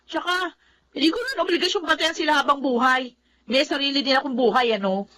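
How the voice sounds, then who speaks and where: A woman's voice: high voice, fairly quick, over music, close to an everyday voice. An actress playing a headstrong young woman in a radio drama, talking in a dismissive, defiant way.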